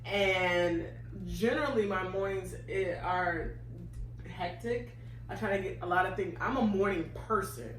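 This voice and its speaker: A playful woman chatting casually as co-host, teasing her partner and laughing often. She sits at a middle pitch, recorded in a roomy space with a faint hum behind her. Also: unhurried. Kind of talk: casual chat.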